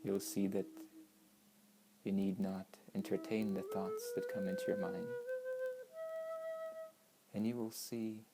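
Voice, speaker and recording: A woman leading a guided meditation in a measured, instructing manner, with long pauses between short phrases. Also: rumbling low, little pitch movement. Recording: voice over meditation music with flute.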